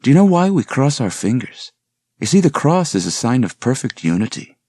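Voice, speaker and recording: A charming male professor in an audiobook, acted out by the narrator, a man. He speaks in a suave, courtly, mock-formal way, lifted above a gravelly natural register, recorded dry. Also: mid-range voice, sing-song, moderate pace, a little mellow, no room sound, pitched above the performer's own voice. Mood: suave, flirtatious.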